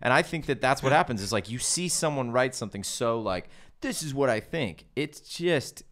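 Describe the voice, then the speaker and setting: A man's voice: medium pitch, lively intonation, moderate pace, fairly bright tone, a little hiss or hum. A man from San Diego, a comedian guest, telling stories in a rambling, casual podcast chat. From San Diego, California. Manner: storytelling, rambling with fillers.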